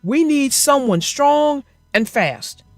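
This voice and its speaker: Casting: woman to play an urgent, bossy steam engine in a children's tale, big lively swings of pitch. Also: takes it slowly, gravelly, no room sound, faint music behind, heavily acted. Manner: urgent, emphatic. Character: hurried, commanding male steam engine.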